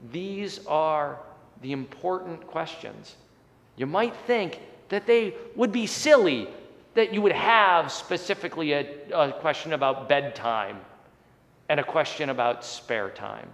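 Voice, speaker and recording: A man's voice: fairly high pitch, fairly bright tone. A man in his forties, a pastor, preaching and praying in an earnest way with rising and falling emphasis. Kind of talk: sermon and prayer. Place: church sanctuary, indoors. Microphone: fixed camera at chest height. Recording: long church hall reverb.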